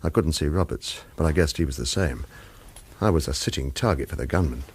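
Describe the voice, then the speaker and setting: A man playing a wry, hard-boiled hero in a radio thriller, speaking in a terse, dry, husky way. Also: very deep voice, fairly quick, heavy background noise. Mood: tense. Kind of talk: scripted first-person radio thriller narration and dialogue.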